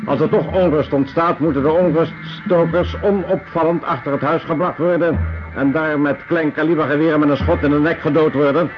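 An actor playing a cold, methodical officer who gives a detached, matter-of-fact report in a radio drama monologue. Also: male voice, fairly high pitch, steady tone, fairly quick, close to an everyday voice. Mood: detached, clinical. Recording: dull lo-fi radio drama recording. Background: music.

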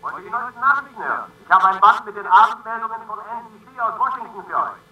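Over a slightly noisy radio link comes a brisk, jovial mission controller. His voice is pitched high and very bright, in the lively manner of radio drama. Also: exaggerated voice. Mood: brisk, upbeat.

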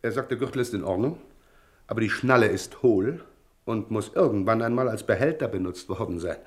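An actor playing a methodical, matter-of-fact police detective, speaking in a businesslike, explanatory way in a German radio crime drama. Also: male voice, lowish voice, expressive, brisk, close to an everyday voice.

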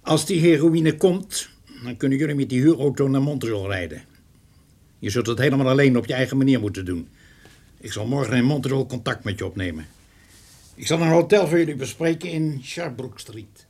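A shrewd male agent in a radio drama, with a creaky, gravelly edge and a husky tone. He sounds assured and knowing, laying out business like someone used to handling people. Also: mid-range voice, animated, brisk, a little mellow. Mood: assured, instructing. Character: smooth-talking, shrewd male government agent recruiting a detective.

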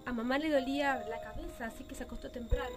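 A woman's voice: high voice, fast, over music, natural voice. A woman playing a nervous, romantic teenage girl talking with her sweetheart in a radio play. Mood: nervous, loving. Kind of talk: amateur radio play dialogue.

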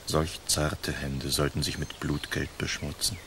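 Very deep, hushed, gallant outlaw. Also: male voice, little pitch movement, measured pace, gravelly, background noise, heavily acted. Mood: ardent, seductive. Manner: hushed, seductive, courtly. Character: bold, charming masked outlaw, ardent and gallant suitor. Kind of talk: radio play acting.

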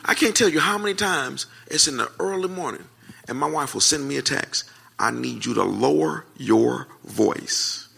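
Gravelly, forceful American male preacher. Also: medium pitch, moderate pace. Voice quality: naturally loud voice. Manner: folksy, emphatic, repeats phrases for effect. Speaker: preacher answering questions at a marriage conference. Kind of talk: conversational sermon with question and answer.

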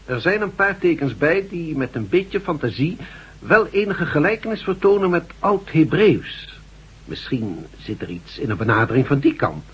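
A man's voice: a little high, big pitch swings, husky, heavily acted. An actor playing a cautious professor who weighs his words carefully in a radio drama. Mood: thoughtful. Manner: measured, hedging. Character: Professor Van Kleef, cautious scholar of scripts. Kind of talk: 1970s radio drama acting. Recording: dull lo-fi radio recording.

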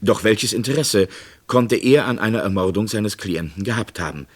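A man narrating a scripted true-crime radio play in a sober, measured, documentary-like manner. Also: on the low side.

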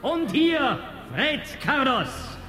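Ringmaster, radio drama: grandiose announcing, extremely high pitch, big echoing hall. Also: male voice, big pitch swings, extremely slow, heavily acted. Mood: showy, proud. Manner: declamatory, grandiose. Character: showy male circus ringmaster announcing an act. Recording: echoey big hall, noisy, from afar.

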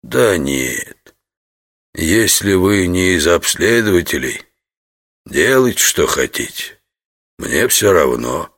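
Slow, low-voiced old village fisherman. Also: big pitch swings, fairly bright tone, full character voice. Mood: gruff, wary. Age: older. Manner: slow, questioning, drawn-out reactions. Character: wary old village fisherman, curt then accommodating. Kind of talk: audio drama acting.

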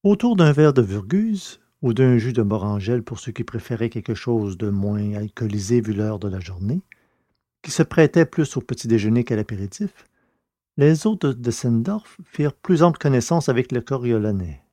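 A man narrating a scripted story in a measured, literary reading style. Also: mid-range voice, lively intonation, mellow, no echo.

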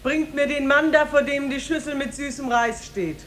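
A woman's voice: high-pitched, fairly even intonation, normal speed, slight background noise, close to an everyday voice. A woman playing a young former slave who rules as a disguised sultan, formal and commanding, in a 1950s German radio play. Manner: formal, declamatory, imperious.

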